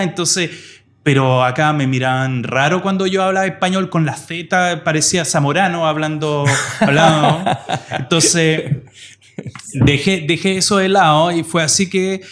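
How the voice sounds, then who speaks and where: Casting: middle-aged male guest, native French, Chilean Spanish with faint French accent, lightly high voice, casual storyteller. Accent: Chilean Spanish with a slight French trace. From France. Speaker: podcast guest. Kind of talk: casual conversational anecdotes.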